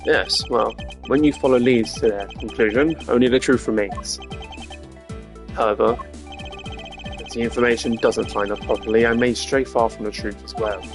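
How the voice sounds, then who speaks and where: A man's voice: medium pitch, steady tone, normal speed, music in the background, natural voice. A man voicing a composed, haughty prosecutor with a formal, precise and pompous way of speaking, in a video game. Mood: measured, superior. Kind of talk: video game character voice-over.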